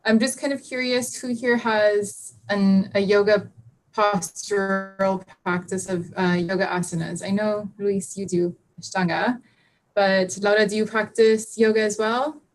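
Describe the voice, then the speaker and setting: A woman teaches yoga over a video call, speaking softly and slowly as she guides a reflection. Her voice sits in an ordinary middle range, with frequent pauses and fillers. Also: medium pitch, fairly even intonation, poor audio. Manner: soft, unhurried guiding with many fillers. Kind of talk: live online class, guided reflection.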